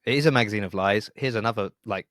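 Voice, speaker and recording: A middle-aged man with a fairly low voice, recorded close and dry with no room sound. He hosts a podcast in a casual, conversational way. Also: fast, a bit bright, dry recording. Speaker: podcast host. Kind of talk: casual interview chat.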